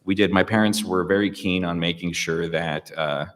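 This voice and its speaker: A man's voice: deep voice, fairly even intonation, moderate pace. A man from the United States, a guest speaker, talking in a reflective, hesitant way with many fillers and restarts in a public interview. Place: public hall. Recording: long reverb of a large room.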